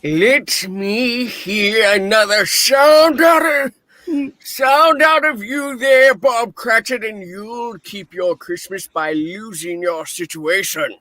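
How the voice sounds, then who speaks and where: A man performs a cantankerous old skinflint in a radio-play reading. He is scornful and barking, with a high, forceful voice delivered slowly and recorded very dry. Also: no room sound, heavily acted. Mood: angry, dismissive.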